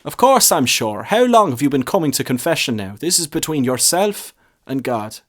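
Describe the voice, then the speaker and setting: A man voicing a world-weary, stern parish priest in a story, with a measured, solemn, admonishing manner. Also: a little high, very animated, a bit bright, voice pushed up. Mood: calm. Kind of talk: short story character reading.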